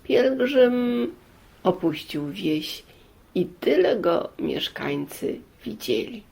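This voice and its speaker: A woman narrating a moral folk tale expressively, in a deliberate way with dramatic pauses. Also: mid-range voice, expressive, vocal fry, darkish tone, husky. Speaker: storyteller.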